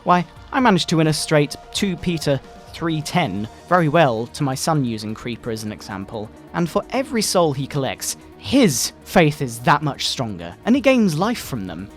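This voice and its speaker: Casting: male performer as indignant Bible-thumping father, theatrical and scolding, highly varied intonation, game music underneath. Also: very animated, normal speed. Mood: reverent. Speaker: video narrator. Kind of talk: dramatic satirical reading, sermon-like. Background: video game music.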